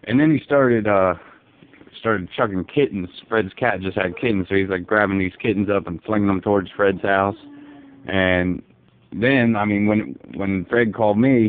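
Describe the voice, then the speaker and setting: Guy doing a folksy deadpan dad rambling on a phone complaint, low voice, thin phone audio. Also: normal speed, close to an everyday voice. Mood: resigned, musing. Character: folksy, easygoing, bewildered dad making a store complaint. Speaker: prank caller. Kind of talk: casual phone prank, improvised. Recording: muffled narrow phone line.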